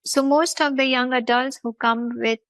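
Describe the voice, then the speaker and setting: A woman's voice: high-pitched, steady tone, moderate pace, a bit bright, no room sound. A woman with an Indian accent hosting a talk show, explaining at length in an emphatic way, with repeated phrases and lists. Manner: emphatic, repetitive listing. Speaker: host.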